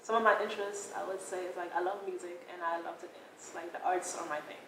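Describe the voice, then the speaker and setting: A twenty-five-year-old woman from Brooklyn, answering interview questions in a relaxed, thoughtful way with lots of "like". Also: on the low side, quick pace. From Brooklyn, New York. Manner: relaxed, thoughtful, says "like" often. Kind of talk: casual reflective interview answers. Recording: very echoey, mic at a distance, faint noise.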